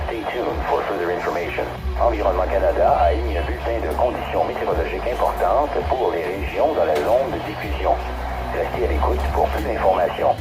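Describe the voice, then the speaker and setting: A man's voice: sits low, quick pace, long pauses, loud music behind the voice. A synthetic man's voice reading a formal scripted weather bulletin as an automated radio announcer. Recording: echoey radio playback with loud background.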